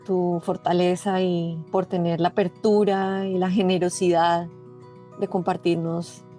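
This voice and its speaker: A woman hosting an interview podcast, speaking in a gracious, measured way. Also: on the low side, level tone, warm tone, soft background music. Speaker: podcast host.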